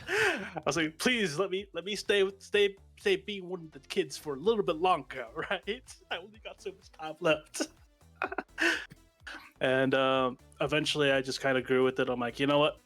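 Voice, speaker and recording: A man's voice: fairly high pitch, expressive, normal speed, a bit bright, faint music behind. A young man with a Vietnamese American accent, chatting casually on a panel call and laughing often as he tells stories about himself. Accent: Vietnamese American English. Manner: laughs often, self-deprecating storyteller. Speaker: VTuber panel guest.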